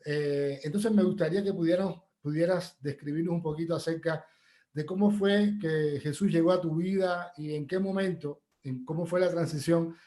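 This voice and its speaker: A man's voice: fairly high pitch, normal speed, dark tone. A Cuban man hosting an interview and asking questions in a friendly, conversational way. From Cuba.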